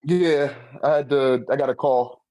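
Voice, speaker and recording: A man chatting casually in an animated, slangy manner with heavy swearing, as a guest in a friendly debate. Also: medium pitch, a little slow, rough recording. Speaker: guest debating a manga tier list. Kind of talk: casual chat.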